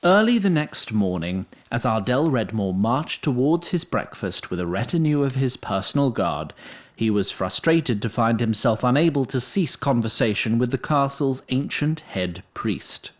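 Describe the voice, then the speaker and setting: A man's voice: medium pitch, sing-song, moderate pace. Male storyteller for scripted fantasy narration, mid-range voice, very varied intonation, dryly literary delivery.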